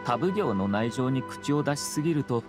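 An actor playing a courteous, composed young noble commissioner in an anime game, speaking in measured, formal politeness. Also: male voice, medium pitch, expressive, music in the background, natural voice. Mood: calm, polite. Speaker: government commissioner.

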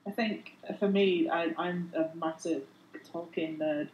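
A woman chatting on a panel, friendly and quick to laugh, over an earphone mic at home. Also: on the low side, steady tone, muffled recording, a little hiss or hum. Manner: warm, chatty, laughs often. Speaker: illustrator panelist. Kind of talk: casual panel chat. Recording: echoey room.

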